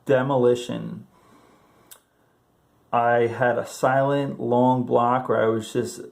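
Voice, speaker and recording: A man's voice: medium pitch, flat delivery, takes it slowly, creaky. A man in his thirties who stutters, talking casually to camera about his own experience, with repeated words and fillers. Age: 30s. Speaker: video host sharing stuttering tips.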